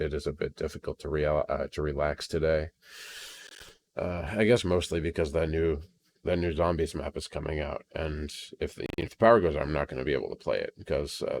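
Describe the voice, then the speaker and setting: An American man with an extremely low voice talks through a casual solo audio log. He rambles hesitantly and sounds resigned. The home computer recording has some crackling. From Maine, United States.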